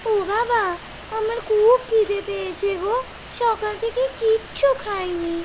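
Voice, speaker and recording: A woman voicing an eager, hungry little village daughter in a cartoon fairy tale, with childlike, drawn-out pleading. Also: very high voice, steady tone, noisy background. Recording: loud rain and thunder behind.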